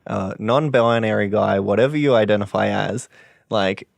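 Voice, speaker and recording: A young man with a very deep voice, co-hosting a podcast and talking passionately with lots of fillers. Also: normal speed, creaky, no room sound. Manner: passionate, rambling, many fillers. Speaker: podcast co-host. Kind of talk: casual podcast chat.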